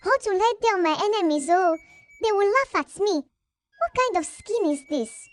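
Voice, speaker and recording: Woman acting very high-pitched, scheming young village woman. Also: expressive, a little slow. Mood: anguished wailing. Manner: dramatic, exclaiming. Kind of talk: acted folktale dialogue.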